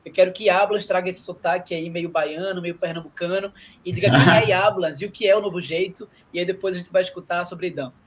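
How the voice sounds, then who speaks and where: A man's voice: high-pitched, steady tone, a little fast, hardly any pauses, a little hiss or hum. A man hosting a casual online talk, introducing his guests in a friendly, informal way. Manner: friendly, informal, uses slang like "velho" and "mano". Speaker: host.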